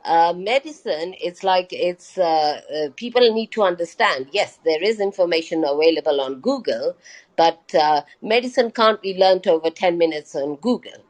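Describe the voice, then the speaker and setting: A woman's voice: fairly low pitch, animated, moderate pace, a little mellow. A woman doctor and lecturer, a guest in a live interview, explaining things patiently with many hesitant fillers. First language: not Hindi.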